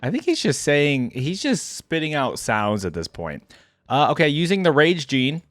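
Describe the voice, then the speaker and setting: A man's voice: fairly high pitch, big pitch swings, moderate pace, fairly bright tone, soft background music. A man hosting a game reaction video, talking informally and thinking aloud as he reads along. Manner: informal, thinks aloud while reading out text. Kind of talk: casual reaction commentary.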